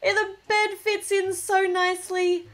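A woman's voice: very high-pitched, little pitch movement, unhurried, a bit bright. A woman casually narrating a toy build at a table, thinking aloud and gushing over the cute little details.

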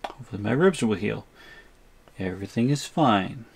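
A man's voice: fairly low pitch, lively intonation, moderate pace, creaky, breathy edge, full character voice. Man playing an exasperated, placating captive. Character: captive man, exasperated but placating protective big brother. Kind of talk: audio roleplay drama.

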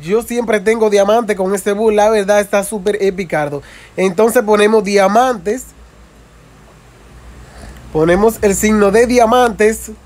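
A man's voice: sits high, slow pace, a little mellow. A man narrating a casual mobile game tutorial, explaining step by step with frequent repetition and informal asides to viewers. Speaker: gaming video creator.

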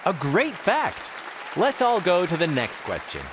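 An upbeat cartoon game show host for a video game. His pitch is fairly high and leaps dramatically, with slow, emphatic delivery. The voice rings through a large hall. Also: wildly swooping pitch, exaggerated voice. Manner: theatrical, emphatic announcing. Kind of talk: scripted quiz show presenting. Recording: large hall reverb, faint crowd noise.

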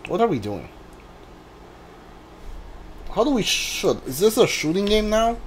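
A man doing casual playthrough commentary, halting and a bit puzzled as he reads game text aloud. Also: high-pitched, very animated, a little hiss or hum, rough recording. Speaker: gameplay streamer. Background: game music.

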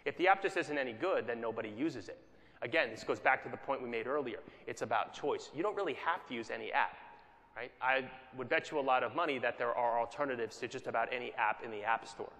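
A man's voice: mid-range voice, quick pace, a bit bright. A man giving a conference keynote, conversational, often checking in with the audience by asking "right?". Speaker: keynote speaker. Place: conference hall. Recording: long hall reverb.

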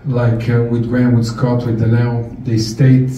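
A man's voice: medium pitch, normal speed. A middle-aged man with a Bulgarian accent giving a memorial speech with a handheld mic. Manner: animated, gesturing, laughing storyteller. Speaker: eulogy speaker, missionary. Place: large event hall, indoors. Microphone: handheld mic through room speakers. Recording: far-off echoey hall, faint noise.

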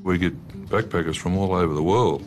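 A man's voice: sits low, fairly quick, music in the background. A man giving an interview for a documentary.

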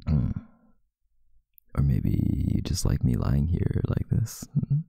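A young man doing an intimate ASMR boyfriend roleplay as a sleepy, affectionate, teasing partner in bed, murmuring softly and drowsily close to the microphone. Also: very deep voice, wildly swooping pitch, slow pace, no echo. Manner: soft, drowsy murmuring, partly breathy and whispered. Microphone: close microphone, dry sound.

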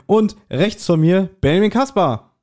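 Mellow-voiced man hosting a podcast. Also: high voice, expressive, a little slow. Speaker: podcast host. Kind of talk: casual joking podcast banter.

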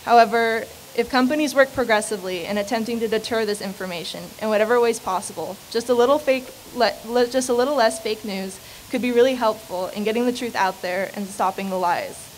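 A woman's voice: fairly high pitch, a little fast, hardly any pauses, low-quality recording. A late-teen American woman reading her essay aloud into a podium microphone outdoors as a student speaker. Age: late teens. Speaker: student essay contest winner. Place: outdoor podium on building steps. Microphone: stand microphone at podium. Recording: clear hiss behind voice.

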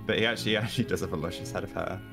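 A man's voice: deep voice, racing. A man chatting casually with friends during an online game. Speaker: player in online drawing game. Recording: background music behind call.

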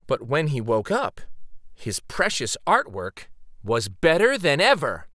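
A man reading a children's story aloud dramatically, with theatrical, suspenseful pauses. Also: mid-range voice, wildly swooping pitch, fairly bright tone, no echo, clean studio sound.

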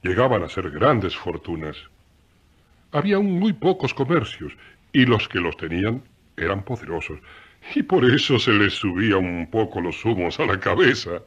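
An actor narrating a radio drama as a genial, husky-voiced storyteller, chatting directly to the listeners. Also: male voice, lowish voice, sing-song, moderate pace, fairly bright tone, dull audio, heavily acted. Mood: genial, confiding. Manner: conversational, addressing listeners directly.

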